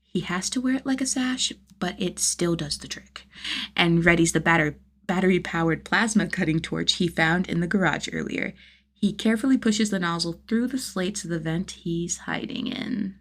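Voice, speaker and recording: A woman's voice: lowish voice, moderate pace, fairly bright tone. A woman narrating fan fiction as a scripted audiobook-style reading, with a dramatic, emphatic delivery. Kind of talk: expressive scripted story narration.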